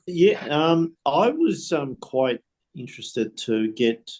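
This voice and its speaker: Discussion participant, very unhurried delivery, middling pitch, hesitant and informal. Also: very animated, darkish tone. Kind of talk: casual chat.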